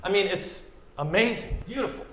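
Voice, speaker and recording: Minnesota guy preaching, goes loud then whispery, roomy dull sound. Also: high-pitched, normal speed. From central Minnesota. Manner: dramatic, swings from loud to hushed, acts out quotes. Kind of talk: sermon. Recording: echoey room, muffled sound.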